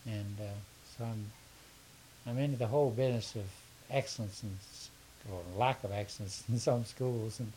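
Older man, dark mellow tone, hesitant rambling interview answers, light background hiss. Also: mid-range voice, rough recording. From southern United States. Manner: hesitant, rambling, halting with repeated words. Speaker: interviewee, education consultant. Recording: faint steady hiss.

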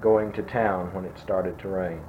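A man in his sixties, speaking softly and slowly as he asks grammar fill-in-the-blank questions, his sentences trailing off. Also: low voice, fairly even intonation. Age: 60s. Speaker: interviewer giving a grammar quiz. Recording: dull lo-fi tape with faint noise.

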